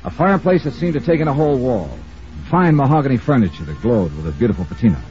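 An actor playing a brisk, wry insurance investigator in a 1950s radio detective drama, narrating crisply in the first person. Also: male voice, medium pitch, animated, close to an everyday voice. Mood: brisk narration. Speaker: narrator and lead of a detective serial. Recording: muffled old radio recording with music.

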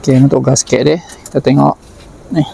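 A man's voice: medium pitch, level tone, a little fast, vocal fry, mellow, a little hiss or hum, poor audio. A man with a Malaysian Malay accent, a mechanic giving plain, matter-of-fact commentary while he works on an engine in a car workshop. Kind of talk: casual work commentary.

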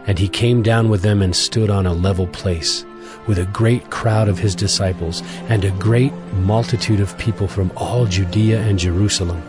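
A man narrating scripture for a dramatized audio Bible in a measured, formal storytelling manner. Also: on the low side. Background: music.

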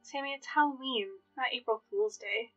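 A woman playing Hannah, a calm and deadpan teen girl helping with announcements in an audio drama. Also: fairly high pitch, moderate pace, muffled recording, faint music behind. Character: dry, unimpressed teen girl helper Hannah.

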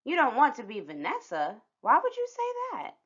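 A woman's voice: a little high, huge pitch swings, normal speed, a bit bright, slightly rough, highs cut off, pitched above the performer's own voice, full character voice. A woman voicing a loving, reassuring mother in a children's storybook. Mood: concerned.

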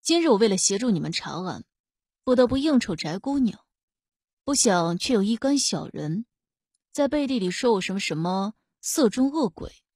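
A woman voicing a cool, haughty young male imperial guard officer, calm and unhurried with dry sarcasm. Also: mid-range voice, breathy edge, no room sound. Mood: aloof, aggrieved.

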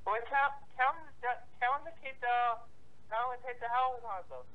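A man with a high-pitched voice talks casually down a muffled, tinny phone line. He speaks haltingly and keeps repeating phrases insistently. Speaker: prank call recipient. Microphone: telephone.

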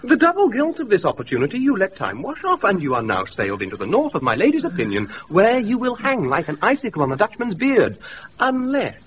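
High, wildly animated comic gentleman. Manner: animated, theatrical. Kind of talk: comic Shakespearean radio acting. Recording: muffled, noisy off-air radio recording.

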